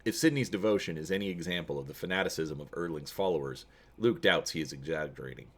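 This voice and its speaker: Man, low pitch, dramatic story narration, expressive reader. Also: fast. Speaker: reader and host of a gamebook read-through. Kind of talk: dramatic reading of an adventure story.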